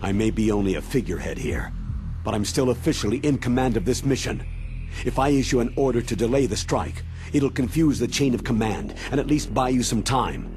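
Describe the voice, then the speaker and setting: An actor playing a weary, remorseful older military commander in a video game, earnest and grave. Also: male voice, mid-range voice, expressive, brisk, a little mellow, soft background music, natural voice. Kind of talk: dramatic video game cutscene acting.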